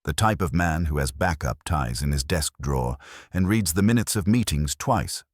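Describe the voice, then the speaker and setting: A man narrates a hardboiled mystery in an extremely deep voice with lively intonation. His delivery is wry and clipped, and the recording is dry. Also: moderate pace, no room sound. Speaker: audiobook narrator in the part of a wry detective's assistant. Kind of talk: scripted first-person hardboiled mystery narration.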